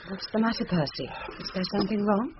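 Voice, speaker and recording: A woman plays an anxious headmaster's wife in a radio play. Her voice is low for a woman, earnest and urgent, on a dull, noisy old recording. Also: lively intonation, quick pace, natural voice. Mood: concerned, urgent. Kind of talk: radio drama dialogue.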